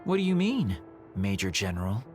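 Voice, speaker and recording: A man's voice: lowish voice, sing-song, moderate pace. A man playing a sly, flippant young spy-assassin in an English game dub, glib and teasing. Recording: music behind the voice.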